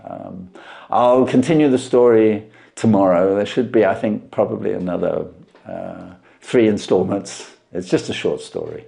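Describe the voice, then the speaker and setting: A man's voice: on the low side, measured pace, gravelly, dark tone. A man who reads classic short stories as host, talking casually to his listeners. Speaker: reader and channel host. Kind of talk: classic short story reading and casual sign-off.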